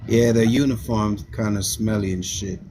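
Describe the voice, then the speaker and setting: A man's voice: on the low side, fairly even intonation, moderate pace, mellow, poor audio. A man in the room who cuts in casually with jokes and questions.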